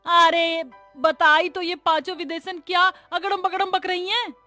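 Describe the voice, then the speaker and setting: A woman's voice: very high voice, level tone, measured pace, sharp tone, faint music behind, heavily acted. A woman voicing an exasperated, scolding village mother-in-law with a dramatic, colloquial, complaining manner in a cartoon family story. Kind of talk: Hindi animated family comedy story.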